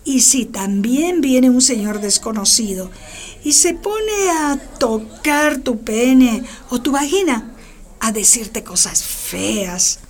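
A woman's voice: fairly high pitch, expressive, slow pace. A woman playing a cheerful, frank schoolteacher who encourages her class in a radio drama. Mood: earnest. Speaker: teacher.

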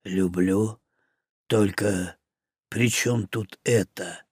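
A man voicing a wounded male orc who talks slowly in a low, quiet rumble. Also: steady tone, husky, dry recording, clean studio sound, exaggerated voice. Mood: puzzled. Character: wounded male orc, deep and slow-spoken.

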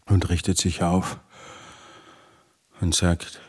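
An actor playing a weary, obsessive, desperate husband, narrating a literary radio drama in halting, deliberate phrases. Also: male voice, low voice, monotone, husky, full character voice. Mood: weary, bleak. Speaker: actor narrating as lead character.

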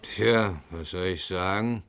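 Casting: older ship's captain, very low voice, hesitant and defensive, 1950s radio crime drama. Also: male voice, slow pace, fairly bright tone, soft background music, exaggerated voice. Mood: troubled, defensive. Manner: hesitant, explaining. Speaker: actor in a radio crime play. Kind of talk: 1950s German radio play acting.

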